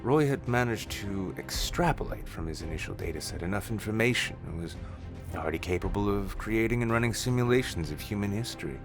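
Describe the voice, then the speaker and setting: Deep-voiced male story narrator. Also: lively intonation, fairly quick, over music.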